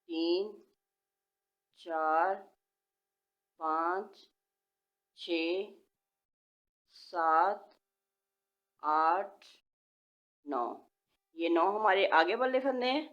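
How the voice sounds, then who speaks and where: A woman's voice: lowish voice, very slow, pauses often. A woman, patiently giving step-by-step knitting instructions and counting stitches aloud. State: sore throat, voice slightly changed. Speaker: knitting tutorial host. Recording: dull lo-fi home recording.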